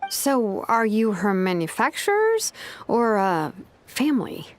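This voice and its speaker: A woman's voice: fairly high pitch, very animated, takes it slowly, a little hiss or hum. An actress playing a modest, analytical young craftswoman in a video game, with a casual, self-effacing manner. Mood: curious.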